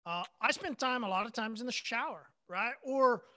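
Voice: mid-range voice, normal speed, bright, forceful tone. A podcast host in casual chat, asking probing questions with lots of fillers like "you know" and "right".

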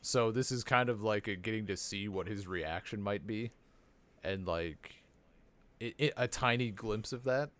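A man co-hosting a podcast, chatting casually and excitably, with jokes and plenty of swearing. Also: lowish voice, unhurried. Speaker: podcast co-host. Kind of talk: casual unscripted chat about a cartoon series.

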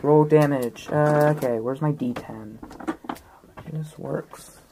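A man's voice: fairly high pitch, takes it slowly, mellow, slight background noise. A man chatting casually as a player in a tabletop role-playing game.

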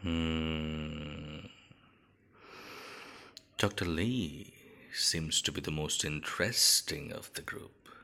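A man playing a scheming, covetous villain scientist in an audio drama, muttering slowly with pondering hums. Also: very deep voice, creaky, bright, forceful tone, slight background noise, exaggerated voice. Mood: brooding, covetous.

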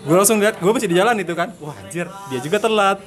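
A young man from Purwakarta, Indonesia, co-hosting a casual joking chat, telling stories in slangy, animated, giggle-prone fashion. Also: sits high, fast talker. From Purwakarta, West Java, Indonesia. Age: young adult. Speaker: podcast host.

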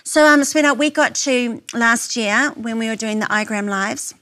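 A woman's voice: on the high side, normal speed, bright, forceful tone. A woman hosting a church women's event, talking in an effusive, gushing way with endearments. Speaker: women's conference host. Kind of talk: warm stage interview.

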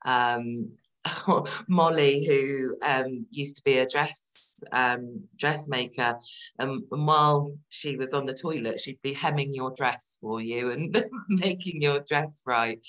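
A woman in her forties, a guest on a podcast interview, talking reflectively with many pauses and restarts. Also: rumbling low, fairly bright tone, lo-fi sound. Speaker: guest entrepreneur.

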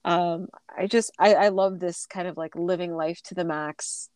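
A woman's voice: on the low side, steady tone, moderate pace, darkish tone, smooth, no echo, clean studio sound. A woman co-hosting a casual podcast, talking chattily with many fillers and laughs, a little stuffy from a recent illness. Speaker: podcast co-host. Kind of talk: casual chat.

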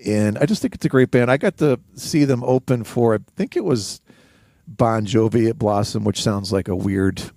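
A man co-hosting a podcast, giving casual album commentary in a halting but enthusiastic manner. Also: on the low side, darkish tone, no echo, clean studio sound. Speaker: podcast co-host.

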